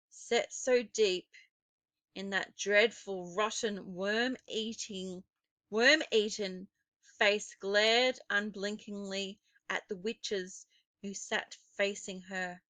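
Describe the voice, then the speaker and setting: A woman narrating a children's story aloud in an expressive, deliberate and suspenseful way. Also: mid-range voice, no echo. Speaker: teacher reading a children's novel aloud.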